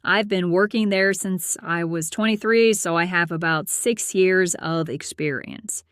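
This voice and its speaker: A woman playing a prim, aloof accountant in her late twenties who narrates her own story in a deadpan, formal way. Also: lowish voice, unhurried, smooth, no echo, clean studio sound. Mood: matter-of-fact. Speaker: host and narrator of a character story series. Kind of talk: scripted first-person storytelling.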